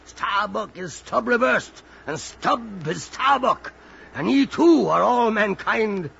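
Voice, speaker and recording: An actor playing an obsessed, defiant old whaling captain, declaiming in grandiose, theatrical style in an old-time radio drama. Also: male voice, high voice, animated, takes it slowly, creaky, fairly bright tone, husky, heavily acted. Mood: brooding. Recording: muffled, noisy old radio drama recording.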